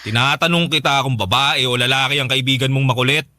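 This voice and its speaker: A man's voice: medium pitch, fairly even intonation, quick pace, no echo, clean studio sound. A Filipino man playing a bitter, suspicious husband who accuses his wife, in a Tagalog radio drama. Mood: probing. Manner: accusing, forceful.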